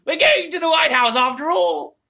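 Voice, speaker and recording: A man voicing a cheerful, excitable old grandfather in a storybook reading, with joyful exclamations. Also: very high voice, normal speed, creaky, voice pushed up, full character voice. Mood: overjoyed.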